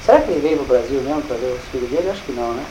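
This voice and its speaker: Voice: medium pitch, expressive, rapid-fire, dark tone, poor audio. A speaker who keeps asking about family history and reads details from a document. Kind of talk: casual family conversation.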